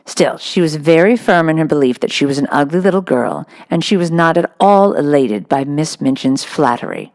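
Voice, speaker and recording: A woman's voice: sits low, lively intonation, moderate pace, few pauses, a little mellow, no room sound, studio quality. A woman reading a children's novel aloud as scripted storybook narration. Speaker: audiobook reader.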